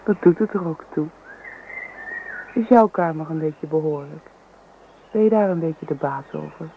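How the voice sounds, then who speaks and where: A woman's voice: low-pitched, moderate pace. Casting: hesitant young woman in a radio play, earnest, bashful, dull recording with faint noise. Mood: shy, curious. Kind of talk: Dutch radio drama dialogue.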